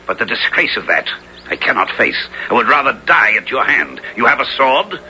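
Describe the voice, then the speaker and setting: An actor playing a scheming, villainous traitor knight in a radio drama, with theatrical archaic diction. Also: male voice, medium pitch, very animated, moderate pace, sharp tone. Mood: defeated, pleading. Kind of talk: 1970s radio drama acting. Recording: dull radio recording, faint noise.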